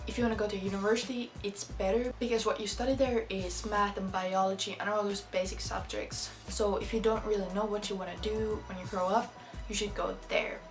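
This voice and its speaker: A woman's voice: medium pitch, little pitch movement, fairly quick, soft background music. A woman in her late teens with a Finnish accent, a native Finnish speaker, talking casually to camera as a vlogger and explaining things. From Finland. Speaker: vlogger, exchange student.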